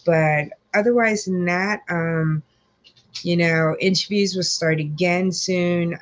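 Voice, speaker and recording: A woman hosting a casual live chat, congested, rambling with many fillers as she greets viewers. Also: low voice. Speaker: livestream host.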